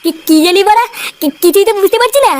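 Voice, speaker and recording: Blunt cartoon gang-leader lad, performer acting him out: squeaky pitched-up voice, extremely fast, swearing delivery. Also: slight background noise. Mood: puzzled. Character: Poltu, brash foul-mouthed village gang-leader friend. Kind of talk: Bengali comedy cartoon dubbing.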